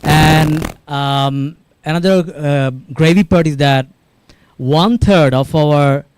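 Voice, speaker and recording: A man's voice: fairly high pitch, slow pace, noisy background. A young man gives an informal conference talk, searching for words with fillers and repeated words. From Bangladesh. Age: young adult. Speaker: panel speaker. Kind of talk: speech at a conference.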